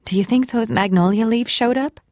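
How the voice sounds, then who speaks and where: A woman voicing a friendly, excitable young bride-to-be who organizes her friends, in an audiobook. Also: fairly high pitch, fairly quick, no room sound, pitched above the performer's own voice, lightly acted. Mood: chatty.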